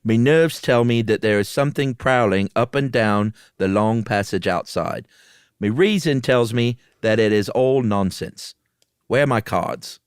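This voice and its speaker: A man's voice: on the low side, expressive, unhurried, clear, resonant tone, no echo, studio quality. Man acting a nervous man in a haunted house. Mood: defensive.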